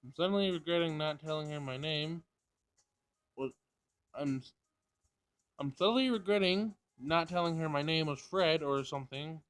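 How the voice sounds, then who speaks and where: A man's voice: fairly high pitch, normal speed, pauses often, fairly bright tone, smooth. A man reading visual novel narration aloud, sometimes stumbling over the text and rereading it.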